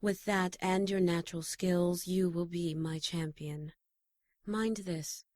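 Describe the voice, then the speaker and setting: A woman playing a solemn, calm guardian angel with a measured delivery in an audio drama. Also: on the low side, no echo, studio quality.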